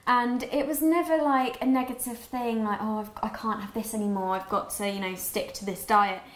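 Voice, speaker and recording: A woman's voice: fairly high pitch, steady tone, moderate pace. A young British woman in her twenties, chatty and upbeat, explaining a recipe step by step as she cooks in a home kitchen. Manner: upbeat, chatty, talks while cooking. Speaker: cookbook author and guest cook. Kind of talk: cooking demonstration chat. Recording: roomy kitchen with music behind.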